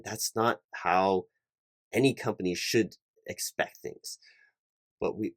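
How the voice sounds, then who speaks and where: A man with a fairly low voice, recorded close and dry. He plays a hiring manager in a one-sided ASMR interview roleplay, talking in polite, officious corporate phrases with a halting delivery. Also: no echo. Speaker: performer playing a recruiting hiring manager.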